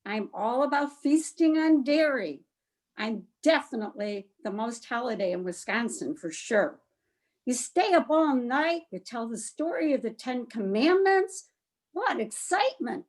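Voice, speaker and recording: A woman's voice: on the high side, lively intonation, measured pace, darkish tone. A woman playing an indignant holiday that demands respect, in an amateur comic reader's theater monologue with a colloquial manner. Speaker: performer in a congregation's reader's theater.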